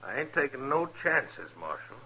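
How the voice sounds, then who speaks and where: An actor playing a vain, edgy gunman villain with a raspy voice and American western accent, sneering defiantly in an old radio drama. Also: mid-range voice, sing-song, moderate pace, pushed and bright, full character voice, low-quality recording. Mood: sneering, guarded. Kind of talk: 1950s radio western acting.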